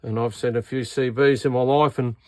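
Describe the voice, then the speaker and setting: A man with an Australian accent presents a casual hands-on radio repair demo. His mid-pitched voice is recorded dry, and he talks informally, sounding baffled and exasperated by what he is showing. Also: little pitch movement, fairly quick, no room sound. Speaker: radio repair vlogger and seller.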